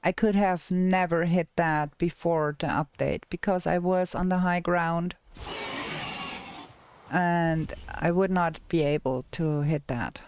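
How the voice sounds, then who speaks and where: A woman with a German accent thinks aloud through a game stream and talks to her chat. Her voice is smooth and a little low, and she speaks at an unhurried pace. The recording sounds somewhat dull and muffled. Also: fairly even intonation, darkish tone. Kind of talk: casual gameplay commentary.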